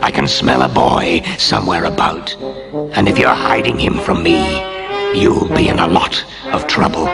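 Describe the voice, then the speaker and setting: A man voicing a huge, menacing, suspicious man-eating giant in a fairy tale, gravelly and slow. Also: lively intonation. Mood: suspicious, threatening. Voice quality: gravelly, booming. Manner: slow, drawn-out, demanding. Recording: loud music underneath.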